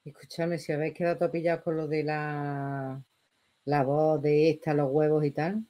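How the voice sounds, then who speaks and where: A middle-aged woman with a Spanish accent from Spain, giving casual reaction commentary in an expressive, exclamatory way. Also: low-pitched, normal speed. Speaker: reaction video host. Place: small bedroom indoors.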